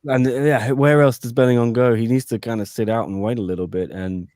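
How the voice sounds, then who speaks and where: A man's voice: mid-range voice, fairly even intonation, brisk, a little mellow, dry recording, studio quality. A man co-hosting a post-fight podcast as a sports reporter, chatting casually with jokey asides to listeners.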